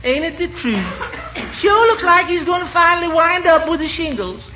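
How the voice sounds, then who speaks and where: A man's voice: extremely high pitch, lively intonation, moderate pace, pitched above the performer's own voice. A man voicing a comical, folksy old Southern woman with a drawl, in 1940s radio comedy style. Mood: knowing. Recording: muffled, noisy 1940s radio broadcast.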